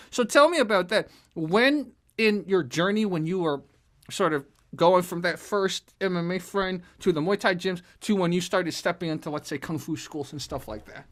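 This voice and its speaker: A man's voice: sits high, a bit bright. A man hosts a channel about fight commentary and talks with eager energy. He opens the interview with his guest and asks him casual questions.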